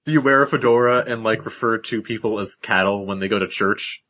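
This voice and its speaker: A young man co-hosting a podcast, cracking slow teasing jokes at his friends. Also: on the low side, pushed and bright. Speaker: podcast co-host. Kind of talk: casual chat.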